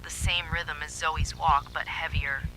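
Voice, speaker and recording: A man narrates a horror story in the first person with dramatic suspense. His voice sits a little high and is very bright and sharp. A faint hum of noise runs underneath. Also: flat delivery, a little slow, rough recording.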